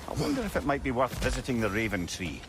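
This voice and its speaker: An old Scottish storyteller sage in a cinematic game. His middle-pitched voice moves in very lively curves. He is chatty, wry and learned, with clear noise behind him. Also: fast talker. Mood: wry, storytelling. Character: witty old storytelling sage, a talking severed head. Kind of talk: cinematic video game acting.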